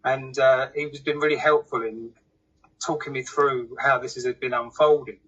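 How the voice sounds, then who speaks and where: A man's voice: mid-range voice, steady tone, normal speed, a bit bright, husky. A man explaining things casually, in a plain, hesitant, conversational way. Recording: slightly dull lo-fi sound.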